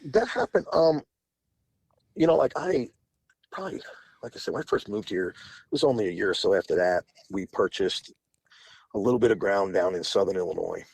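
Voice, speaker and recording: A man's voice: deep voice, expressive, a little fast, vocal fry, long pauses, a little mellow, slightly rough, no room sound. A man in his forties, a podcast guest telling long stories in a rambling, casual way with frequent restarts. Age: 40s. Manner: rambling, restarts often, laughs between phrases. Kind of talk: casual interview storytelling.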